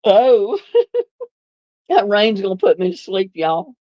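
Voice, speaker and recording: A woman's voice: mid-range voice, expressive, slow, no room sound. An older woman from North Carolina, chatting casually on a livestream and reading viewer comments in a homey way, laughing often. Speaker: livestream host. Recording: dull, lo-fi dry sound.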